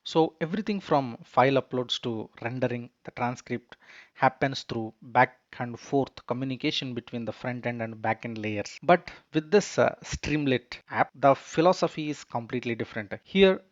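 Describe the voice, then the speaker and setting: A man narrating a screencast software tutorial in an explanatory, slightly hesitant manner. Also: medium pitch, normal speed, no echo. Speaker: tutorial presenter. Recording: dull, muffled close voice-over.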